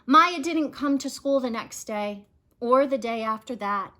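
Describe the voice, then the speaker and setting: A woman reads a children's story aloud with a very bright, clear-cut tone. Her pitch sits fairly high, and she takes her time, shaping the phrases expressively like a storyteller with a picture book. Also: measured pace.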